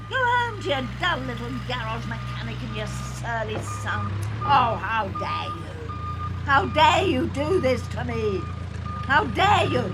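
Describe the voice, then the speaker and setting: A woman's voice: high voice, full character voice, rough recording. A woman playing a scorned, bitterly indignant woman in an audio play, dramatic and cutting.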